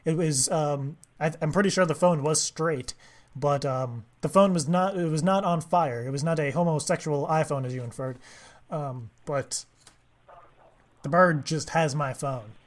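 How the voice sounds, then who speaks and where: A man making a prank call, speaking in a deadpan, mock-earnest way with halting, rambling delivery. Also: on the high side. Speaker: prank caller, host of a prank call show.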